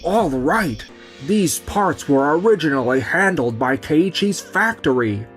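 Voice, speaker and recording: The self-assured salaryman in an animated office romcom , acted by a man, making his case slowly with big rises and falls. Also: a little high, faint music behind. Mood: stressed. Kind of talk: scripted manga dub acting.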